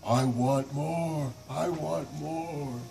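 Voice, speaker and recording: A man voicing a huge, greedy male monster that whines and demands food, with drawn-out cries. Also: a little high, fairly even intonation, creaky, dark tone, a little hiss or hum, exaggerated voice. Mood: demanding. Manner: whiny, wailing, drawn-out cries.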